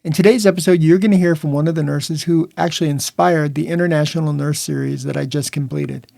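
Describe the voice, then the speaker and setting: Gravelly-voiced male podcast host. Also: fairly high pitch, steady tone, a little fast, a little mellow, no echo, studio quality. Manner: earnest, reads listener reviews aloud. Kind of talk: podcast interview.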